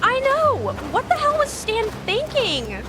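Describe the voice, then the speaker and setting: Snooty, shrill-high 1980s yuppie wife. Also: female voice, huge pitch swings, unhurried, heavy background noise, exaggerated voice. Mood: exasperated. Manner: snooty, exasperated. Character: snobbish 1980s corporate wife, disdainful and self-absorbed. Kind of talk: audio drama acting.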